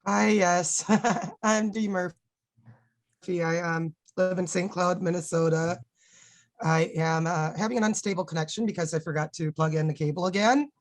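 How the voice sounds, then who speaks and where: A woman's voice: fairly low pitch, normal speed, no echo. A woman introducing herself on a video call, friendly and a little apologetic about her connection. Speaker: workshop participant.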